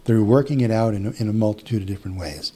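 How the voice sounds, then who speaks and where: Mellow-voiced Midwestern man telling a story. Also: lowish voice, rapid-fire, slightly rough. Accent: Upper Midwest American. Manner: chatty, with frequent restarts and quoted dialogue. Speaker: hypnotist guest. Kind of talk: casual storytelling in an interview.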